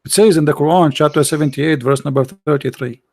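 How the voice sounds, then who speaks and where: A man with hosting a live-stream debate, mocking and interrupting with rapid repeated questions. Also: on the high side, darkish tone, dry recording. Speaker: livestream host debating callers.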